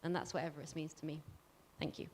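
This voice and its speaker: Female event speaker, lowish voice, earnest and deliberate delivery with emphatic phrasing. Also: steady tone, heavy background noise. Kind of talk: earnest public speech.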